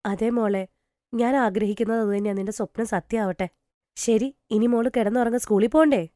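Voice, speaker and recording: A woman voicing a gentle, worried, resigned mother who soothes and placates in a cartoon story. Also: fairly high pitch, steady tone, moderate pace, no echo, clean studio sound, lightly acted. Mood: tender, resigned. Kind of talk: dramatised cartoon story dialogue.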